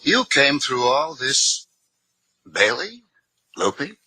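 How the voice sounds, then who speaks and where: A man's voice: mid-range voice, very animated, slow pace, music in the background, poor audio. A man acting a flippant, cynical drifter artist with sardonic, languid delivery in a 1950s radio drama.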